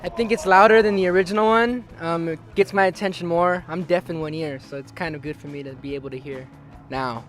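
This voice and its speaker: A teenage male student gives a casual vox pop answer. His voice sits fairly high, and there is faint music behind it. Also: steady tone, brisk, fairly bright tone. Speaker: student interviewee.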